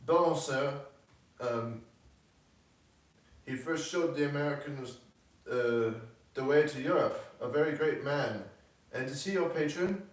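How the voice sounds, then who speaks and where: A coquettish young Frenchwoman read by a man from a storybook, effusive and shrewd. The voice sits far from the mic in a very echoey room, with formal, flattering turns of phrase. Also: on the high side, takes it slowly, long pauses, pitched above the performer's own voice.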